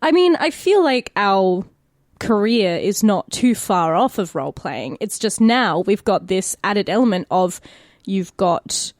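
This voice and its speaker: Aussie girl on a podcast, casual, thinking out loud, dry close audio. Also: mid-range voice, sing-song, a little slow, no echo. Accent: Australian. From Australia. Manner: informal, hesitant phrasing, thinking aloud. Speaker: podcast co-host, actor.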